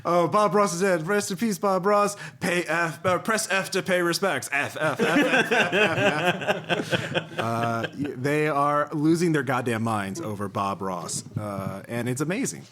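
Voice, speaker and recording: An American man hosting a casual podcast chat in a halting, wry way with some swearing. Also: a little high, sharp tone. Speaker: podcast host.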